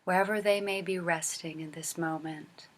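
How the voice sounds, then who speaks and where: A woman leading a guided body scan meditation as a teacher, speaking gently and unhurriedly with long pauses and soft, breathy phrase endings. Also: fairly low pitch, steady tone.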